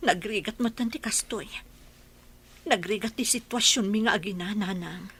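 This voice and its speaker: A woman voicing a worried mother raising her daughter on her own, with a husky voice. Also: medium pitch, moderate pace, a bit bright. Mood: troubled.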